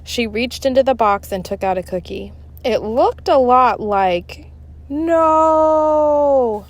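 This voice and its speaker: A woman reading a children's book aloud in an expressive, emphatic storytelling style. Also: medium pitch, a little slow. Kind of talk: read-aloud story narration.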